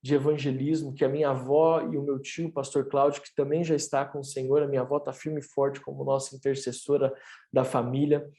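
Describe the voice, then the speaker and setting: A man's voice: mid-range voice, little pitch movement, fairly quick, mellow. A man in his thirties, a pastor, sharing his testimony in an earnest, preaching way for an online church service. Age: 30s. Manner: earnest, preacherly, rising emphasis.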